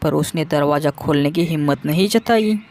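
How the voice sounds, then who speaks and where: A woman reading scripted narration for a children's animated story. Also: sits low, normal speed.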